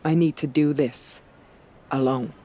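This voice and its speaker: A woman's voice: low voice, steady tone, measured pace, warm tone. A headstrong female wrestler in a video game story speaks. Her delivery is firm and earnest. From Dublin, Ireland. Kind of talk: video game cutscene dialogue.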